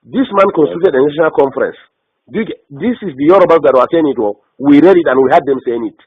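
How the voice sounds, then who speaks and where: A man with a northern Nigerian accent speaks in a husky, fairly high voice. He is animated and insistent, pressing his points and checking he is followed. The phone recording is echoey and muffled. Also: quick pace. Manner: insistent, holds the floor, repeatedly checks the listener follows. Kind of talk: heated political discussion on a phone call. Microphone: phone call. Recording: echoey, band-limited phone call recording.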